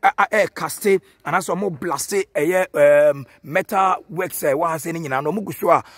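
A man's voice: a little high, moderate pace, husky, no echo, clean studio sound. A man with a Ghanaian accent giving a walking video report from a stadium, pointing things out as he goes. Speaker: field reporter. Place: outdoors at a stadium.

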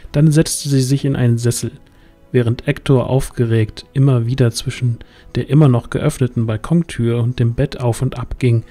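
A man's voice: medium pitch, vocal fry, dark tone. A man narrating a scripted fantasy audio drama as its storyteller. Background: music.

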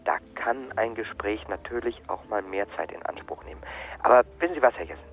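An actor playing an evasive, relentlessly polite call-centre agent in a radio drama, reeling off insistent, formulaic sales patter. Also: male voice, on the low side, animated, a little fast, narrow, tinny audio, natural voice. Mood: salesy, upbeat. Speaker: customer service agent. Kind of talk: radio drama acting, scripted phone dialogue.

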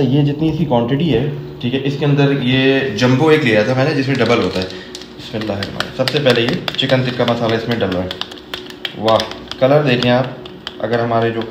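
A man in his forties talking to camera in his home kitchen, walking through the steps of a cooking vlog in a chatty way, mixing in English phrases and blessings. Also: mid-range voice. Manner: chatty, explains each step, sprinkles English phrases and Islamic blessings. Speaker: cooking vlogger. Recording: echoey kitchen with background noise.

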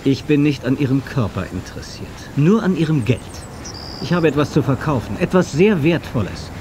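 A man's voice: fairly high pitch, expressive, normal speed, natural voice. A man playing a cocky, impatient hero in a radio play, with a brash, flippant manner. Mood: casual. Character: cocky, impatient male hero driver, easily irritated. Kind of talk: German radio play acting. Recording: noisy car and street ambience, cassette.

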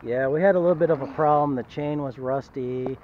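A man's voice: on the high side, a little fast, a little hiss or hum. A man filming his family on a camping trip, narrating casually to camera and cheerfully addressing viewers as guys. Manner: chatty, upbeat, keeps addressing viewers as "guys". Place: outdoor campground and woods. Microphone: handheld action camera. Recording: muffled action-camera audio outdoors.

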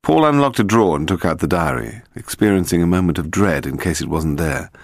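A man with a British accent reading a detective story as scripted narration, in a measured, dramatic storytelling manner. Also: low-pitched, animated, creaky, no echo, studio quality. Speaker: audiobook narrator.

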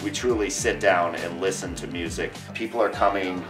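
A man's voice: low voice, steady tone, moderate pace, far from the mic, music in the background. A man speaking earnestly and emphatically in a documentary interview as a radio DJ.

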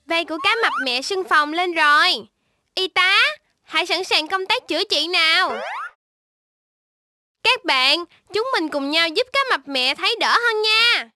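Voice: extremely high pitch, lively intonation, unhurried, sharp tone, no room sound, clean studio sound, full character voice. An actor voicing a caring, cheerful baby shark doctor, encouraging and instructing young viewers in a children's cartoon, with music behind. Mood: caring, instructive.

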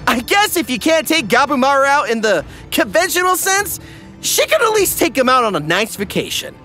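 A man narrating a scripted comedy parody in an animated, tongue-in-cheek manner. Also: extremely high pitch.

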